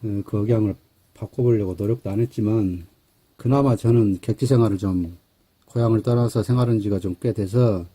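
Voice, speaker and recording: A middle-aged man with a Jeolla Korean accent giving a relaxed English grammar lecture, pausing on fillers and correcting himself. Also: on the low side, breathy edge. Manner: relaxed, slightly hesitant, with fillers and self-corrections.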